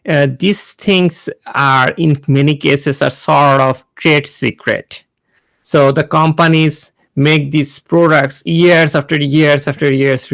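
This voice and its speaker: Bangladeshi-accented man, gravelly voice. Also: mid-range voice, a bit bright, no echo. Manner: hesitant, many fillers and restarts. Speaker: guest speaker, NASA engineer. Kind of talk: informal talk to students on a video call.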